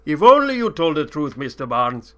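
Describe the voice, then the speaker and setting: A man voicing a cruel, scheming male desert sheik with a booming, mocking delivery. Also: big pitch swings, moderate pace, sharp tone. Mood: menacing.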